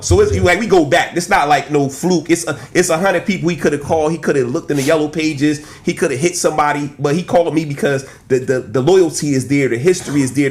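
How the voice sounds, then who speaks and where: Animated Trenton man in his forties. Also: on the high side. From Trenton, New Jersey. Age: 40s. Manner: animated, street slang, frequent "right?" and "man". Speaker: insurance agent guest. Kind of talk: casual podcast chat.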